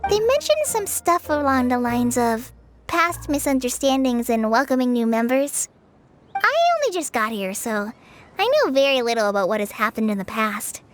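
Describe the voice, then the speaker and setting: A woman's voice: a little high, lively intonation, moderate pace, natural voice. A woman voicing a shy, earnest teenage forest ranger girl in an English anime game dub. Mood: thoughtful. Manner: hesitant, polite, earnest. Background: game music.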